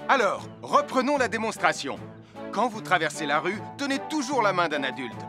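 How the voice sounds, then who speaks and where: A man's voice: high voice, very animated, moderate pace, over music. A man playing a cheerful, helpful firefighter who teaches safety in an encouraging way in a children's cartoon. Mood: encouraging, instructive. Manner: clear, encouraging, didactic. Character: cheerful, helpful adult male firefighter hero. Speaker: presenter of safety lessons. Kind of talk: dubbed children's cartoon, instructional delivery.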